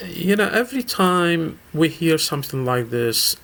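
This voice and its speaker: Middle-aged man from Syria, halting and earnest, gravelly texture, fairly high pitch; radio interview guest. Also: lively intonation, fairly bright tone. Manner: halting, many fillers and restarts, earnest. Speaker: guest from a local Islamic center.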